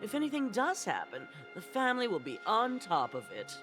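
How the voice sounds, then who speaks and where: An actress playing a breezy, boastful festival-goer in a video game, quick to reassure. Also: female voice, fairly high pitch, huge pitch swings. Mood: reassuring. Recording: clear music behind.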